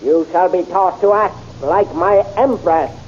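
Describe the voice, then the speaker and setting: Cruel tyrant emperor, theatrical and commanding, slow high delivery, 1930s radio serial, muffled old recording. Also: male voice. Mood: gloating. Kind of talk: 1930s radio drama acting. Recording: dull old radio recording with faint hiss.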